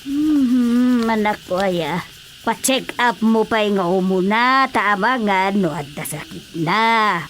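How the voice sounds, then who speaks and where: A woman playing an older village mother in a radio drama, questioning and gently admonishing her grown son. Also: mid-range voice, expressive, slow, a little hiss or hum.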